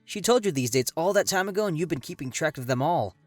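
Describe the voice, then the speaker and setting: A woman voicing a gentle, kindly young male god who talks warmly and stammers when caught off guard. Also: sits low, lively intonation, fast talker, no echo, faint music behind. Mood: teasing. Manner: warm, hesitant, with stammers.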